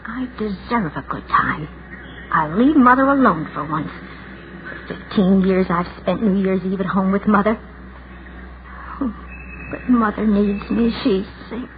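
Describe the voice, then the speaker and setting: An actress playing the inner voice of an anxious, lonely spinster, fretful and wavering, in 1940s radio style. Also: female voice, mid-range voice, measured pace, pauses often, narrow, tinny audio, natural voice. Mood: torn, guilty. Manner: fretful, self-arguing, wavering. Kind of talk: 1940s radio acting, interior monologue. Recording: noisy, thin 1940s radio recording.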